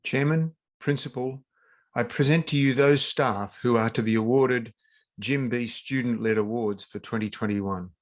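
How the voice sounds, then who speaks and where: A man's voice: medium pitch, moderate pace, faint music behind. A man formally reading out award winners in a ceremonious, scripted manner. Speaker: award presenter.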